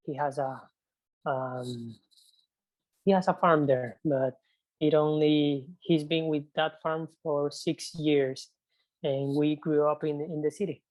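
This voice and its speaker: A young man from Ecuador, speaking English as an as a podcast guest in a hesitant, halting way with many fillers. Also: on the high side, steady tone, a little mellow, rough recording. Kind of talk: casual interview conversation.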